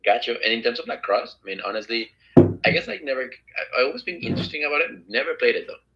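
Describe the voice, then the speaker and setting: A man's voice: medium pitch, expressive, quick pace, dull audio, very noisy. A man in his twenties with a Mexican Spanish accent, Spanish as first language, chatting casually on a video call with many fillers and restarts. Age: 20s. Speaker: company director meeting new recruits. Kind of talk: casual Zoom introductions.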